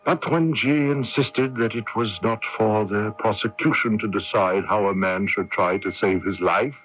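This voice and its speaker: An actor playing a condemned Irish patriot in a radio drama, bitter, fatalistic and eloquent, with a theatrical, sardonic and deliberate delivery. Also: male voice, lowish voice, slight background noise, natural voice. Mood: resigned, wry. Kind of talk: radio drama acting with narration. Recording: dull, muffled 1980s AM radio recording.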